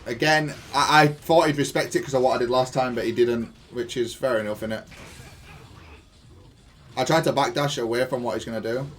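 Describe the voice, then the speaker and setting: A man's voice: medium pitch, steady tone, a little fast, slight background noise. A man giving casual gameplay analysis of his own match in an informal, matter-of-fact, self-critical way. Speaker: gaming content creator reviewing own match.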